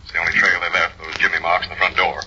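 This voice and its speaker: A man playing an indignant businessman on the telephone in an old radio drama, brisk and demanding. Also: low-pitched, level tone. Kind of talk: 1930s radio drama acting. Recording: very noisy, muffled old radio recording.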